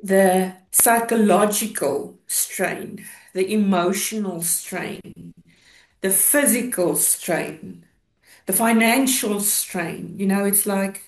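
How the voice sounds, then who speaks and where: South African woman, fifties, very unhurried, halting and reflective, interview testimony, roomy echo. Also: mid-range voice. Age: 50s. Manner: halting, reflective, pausing mid-sentence. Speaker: interview guest, police officer. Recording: roomy, echoing sound.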